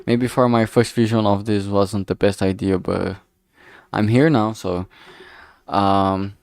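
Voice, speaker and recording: A man with a slightly low but clean, smooth voice talks his way through a solo work stream. He speaks very slowly, rambling and losing his sentences, with frank, casual swearing. The sound is close and dry. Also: fairly even intonation, a little mellow, dry recording. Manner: halting, rambling, trailing off mid-sentence. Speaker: solo streamer developing a visual novel.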